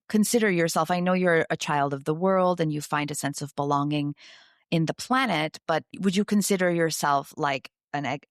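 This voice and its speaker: Woman podcast host, low voice, encouraging, long questions, dry close recording. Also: dry recording. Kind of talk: conversational interview.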